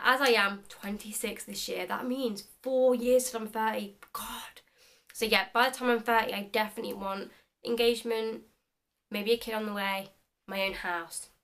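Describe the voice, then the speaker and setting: A woman's voice: fairly high pitch, a bit bright. A woman in her twenties chatting casually in a vlog, upbeat and full of "like" fillers. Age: 20s. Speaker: beauty vlogger.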